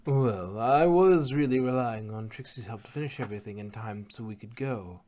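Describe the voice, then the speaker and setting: A man's voice: mid-range voice, moderate pace, a little mellow, slight background noise, natural voice. A man voicing a refined, apologetic female pony, a caring older sister who speaks politely and carefully. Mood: regretful.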